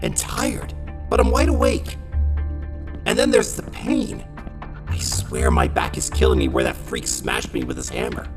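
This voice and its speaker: A man's voice: very high voice, unhurried, music in the background. A man playing an uneasy young male mutant turtle trapped in a robot body in an audio drama, with hesitant delivery. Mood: uneasy, weary. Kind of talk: fan audio drama acting.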